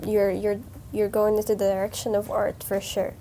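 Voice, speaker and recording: A woman co-hosting a podcast, asking hesitant, halting questions. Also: medium pitch, completely flat delivery, mellow. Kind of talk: interview questions. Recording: faint background noise.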